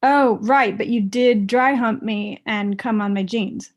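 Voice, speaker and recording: Comedy table read: resentful young ex-girlfriend played by a woman, slightly high voice, deadpan and confrontational. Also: a little slow, close to an everyday voice. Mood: blunt, wounded. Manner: blunt, deadpan, pressing.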